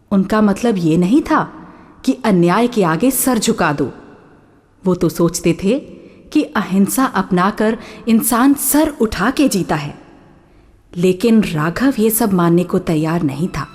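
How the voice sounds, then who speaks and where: A woman performs as a radio drama narrator with long reverb on her voice. She reads slowly and deliberately, explaining a moral in a solemn tone. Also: mid-range voice, a little mellow. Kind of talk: scripted narration.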